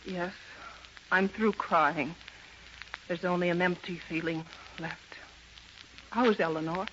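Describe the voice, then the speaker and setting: A woman playing a convicted, loving and grief-stricken young mother in an earnest, halting 1930s radio drama style. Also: lowish voice, steady tone, highs cut off. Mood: anguished resignation. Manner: earnest, melodramatic, halting with emotion. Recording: bright, noisy, band-limited 1930s radio recording.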